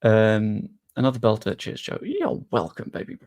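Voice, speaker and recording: English guy, young, relaxed streamer chatter, slangy and casual, gravelly edge to the voice. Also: lowish voice, moderate pace. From England. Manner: chatty and easygoing, with frequent fillers and British slang. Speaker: live streamer. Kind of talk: casual live stream chat, reading and answering viewer questions.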